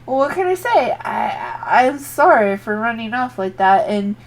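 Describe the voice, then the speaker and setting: A woman reading aloud the lines of a fiery young female fighter in an excitable, lively way, louder than her usual talk. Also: on the high side, a little slow, full character voice. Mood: excited. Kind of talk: reading game dialogue aloud.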